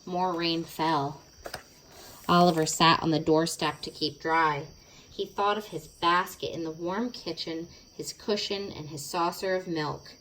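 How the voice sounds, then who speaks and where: A woman's voice: deep voice, fairly even intonation, measured pace, fairly bright tone, slight background noise, low-quality recording. A woman narrating a children's storybook expressively, stretching words for dramatic effect. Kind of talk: expressive children's read-aloud.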